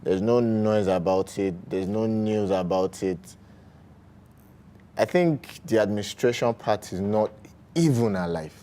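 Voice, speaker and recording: A man with a Nigerian accent, chatting casually as a guest on a sports talk show, relaxed and full of fillers. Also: fairly low pitch, a little slow. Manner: relaxed, uses fillers like "you know" and "man". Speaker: studio guest. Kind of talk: casual chat.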